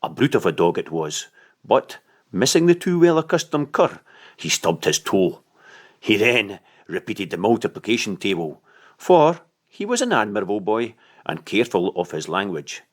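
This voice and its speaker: A male audiobook narrator reads literary prose expressively. His voice sits low, yet the intonation moves up and down in an animated way. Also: normal speed.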